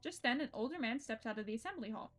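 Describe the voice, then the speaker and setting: A woman with a fairly high voice streams a visual novel, reading the narration aloud and breaking off into her own expressive, casual comments. She swears freely and interrupts herself. Soft game music plays behind her. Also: fast.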